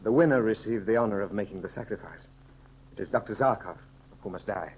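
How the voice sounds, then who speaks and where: Actor as low, solemn radio adviser. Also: male voice, a little mellow, natural voice. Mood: grave. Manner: formal, measured, solemn. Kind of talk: 1930s radio serial acting. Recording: noisy 1930s radio recording.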